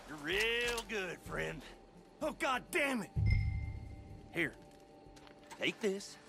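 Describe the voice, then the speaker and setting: Agitated western game character, high creaky voice, slow halting pleas, music behind. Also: male voice, very animated, bright, forceful tone, exaggerated voice. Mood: agitated, placating. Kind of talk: video game cutscene acting. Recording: music under the voice.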